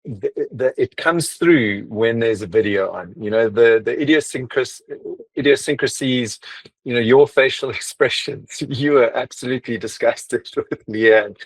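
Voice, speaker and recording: A man's voice: mid-range voice, a little slow. A man interviews a guest in a loose, chatty way, often stopping and restarting his sentences. His mid-range voice sounds close and dry, with no room echo. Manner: chatty, hesitant, many restarts and fillers. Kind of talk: casual interview talk.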